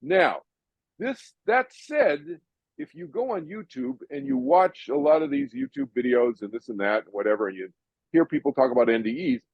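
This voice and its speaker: An older man from Massachusetts hosting an audience Q&A over a video call, chatty and animated, often restarting his sentences. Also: a little high, normal speed, gravelly, slightly rough, no room sound, highs cut off. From Massachusetts, USA. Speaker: host answering audience questions.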